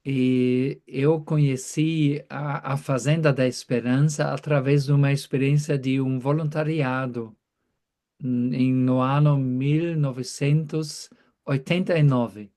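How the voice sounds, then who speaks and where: A man in his fifties, a priest, speaking in short halting phrases that pause for an interpreter. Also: mid-range voice, fairly even intonation, mellow. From Germany. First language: German. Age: 50s. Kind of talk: talk given through an interpreter.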